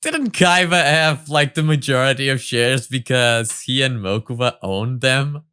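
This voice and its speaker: A man hosts a reaction video with casual, halting comments. His mid-pitched voice is crisp and bright, recorded dry with no room sound. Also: no room sound.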